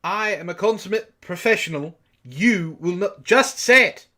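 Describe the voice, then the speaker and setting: A man's voice: high-pitched, lively intonation, normal speed, bright, forceful tone. A man bantering in a comedic book club chat, theatrical and mock-pompous, easily worked up. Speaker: book club co-host and reader.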